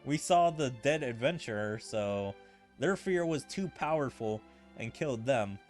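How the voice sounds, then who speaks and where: A man's voice: medium pitch, expressive, unhurried, faint music behind. A man giving casual game commentary as a streamer. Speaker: gaming streamer.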